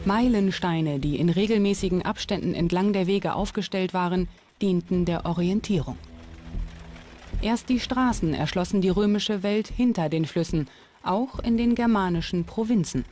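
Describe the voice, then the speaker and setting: A woman's voice: medium pitch, moderate pace, mellow. A woman reading scripted documentary narration as the narrator. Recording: music behind the voice. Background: background music.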